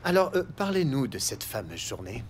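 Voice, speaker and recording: An actor playing a needling internal affairs investigator in a police audio drama, falsely polite and insinuating, with deliberate hesitations. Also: male voice, mid-range voice, sing-song, noisy background, lightly acted.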